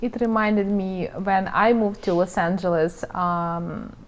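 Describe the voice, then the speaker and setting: A woman's voice: mid-range voice, steady tone, slow pace. A woman with a Ukrainian accent hosting an interview, asking short questions. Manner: short questions and brief acknowledgements. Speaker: host, interviewer.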